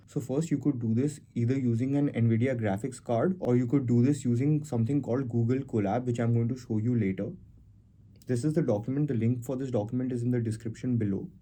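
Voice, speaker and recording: Man with a very dark, muted voice. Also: medium pitch. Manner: step-by-step explaining. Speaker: tutorial host. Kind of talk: casual screen-recorded software walkthrough.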